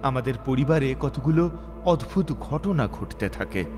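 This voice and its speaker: A man reading a scripted dramatic story as narrator, expressive and suspenseful. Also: mid-range voice, unhurried. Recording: voice over prominent background music. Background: music.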